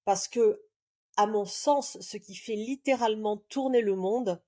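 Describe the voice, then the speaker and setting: A woman's voice: medium pitch, measured pace, mellow. A woman in her forties from southern France, a love coach guest on a podcast, telling her story in a reflective, earnest way with frequent hesitations. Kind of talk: podcast interview, personal storytelling.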